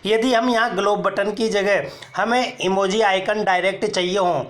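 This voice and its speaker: A man's voice: high voice, fairly even intonation, normal speed, fairly bright tone. A man explaining phone keyboard settings step by step, as a tutorial presenter who keeps calling viewers friends and pointing out each screen. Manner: step-by-step, addresses viewers as friends, repeats "look here". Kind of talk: screen-recorded how-to explanation.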